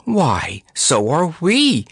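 A man's voice: mid-range voice, very animated, unhurried, dry recording, clean studio sound, voice pushed up. A man voicing a spirited, earnest young girl in a storybook. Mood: indignant.

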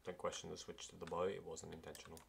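A young man with a very deep, low voice, talking in a low-key way as he plays. He mutters and swears at the game, with faint keyboard clicks and noise behind him. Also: completely flat delivery, fast talker, vocal fry. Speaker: gamer. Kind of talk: casual gaming chat.

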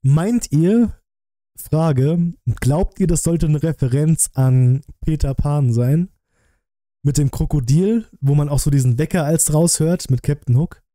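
A man gives casual live-stream commentary in a very mellow, relaxed voice. He sounds close to the microphone in a dry room, chatting directly to his viewers. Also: a little high, a little slow, no echo. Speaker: streamer reacting to a film review. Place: small room at a streaming setup. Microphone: close desk microphone, headset worn.

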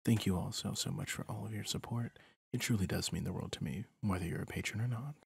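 A man gives a creator's outro to his listeners. His voice is deep and very husky, recorded close and dry. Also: fast, no room sound. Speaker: audio roleplay creator. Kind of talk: channel outro, thanking listeners.

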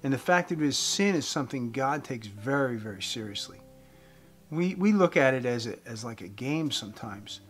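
Guy in his 50s doing a faith talk to camera, earnest and deliberate, guitar playing behind. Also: mid-range voice, lively intonation, fairly bright tone. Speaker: host giving a faith message. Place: indoors, room with wooden beams. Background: guitar music.

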